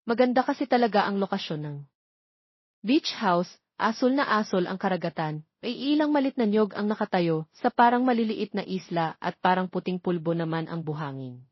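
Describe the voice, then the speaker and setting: A woman's voice: medium pitch, expressive, moderate pace, husky. A Filipino woman reading a scripted romance story as its narrator. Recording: dull, roomy, lo-fi audio.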